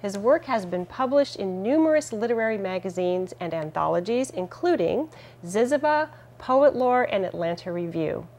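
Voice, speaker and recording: A middle-aged woman hosting a TV studio interview with a lapel mic, warm and encouraging, with frequent affirmations. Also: mid-range voice. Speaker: host. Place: small TV studio set.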